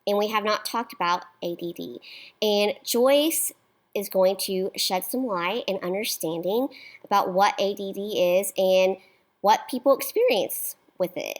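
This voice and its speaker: Eager woman host, upbeat, talks to camera then chats w/ a guest, mid pitch. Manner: enthusiastic, upbeat, emphatic. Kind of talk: interview show host, intro to camera then casual chat. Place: home studio.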